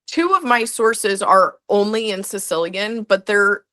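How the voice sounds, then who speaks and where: A woman's voice: medium pitch, lively intonation, unhurried, pushed and bright. A young woman, a guest historian, answering interview questions in a chatty way full of "like" and restarts.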